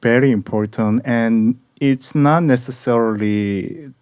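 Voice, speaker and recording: Korean-accented male podcast host, slow and halting, dry close sound. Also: medium pitch, darkish tone, clean tone, dry recording. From South Korea. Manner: halting, careful English with frequent pauses and "uh". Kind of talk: casual interview chat.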